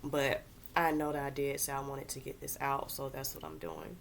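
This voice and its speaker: Low-pitched congested woman, noisy recording. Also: little pitch movement, gravelly. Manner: halting, searching for words, repeats herself. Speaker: astrology content host. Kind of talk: casual unscripted explainer.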